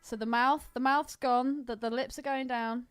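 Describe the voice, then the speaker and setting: A woman co-hosting a podcast in casual film chat, with an animated, jokey and sweary way of talking. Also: high voice, moderate pace, clear, resonant tone. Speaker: podcast co-host.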